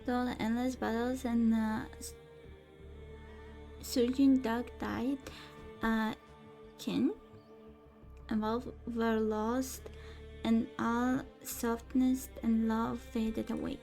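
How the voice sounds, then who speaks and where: A woman doing casual gameplay commentary, haltingly reading on-screen text aloud and stumbling over words. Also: on the high side, flat delivery, vocal fry, mellow. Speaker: game streamer. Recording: game music behind. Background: video game music.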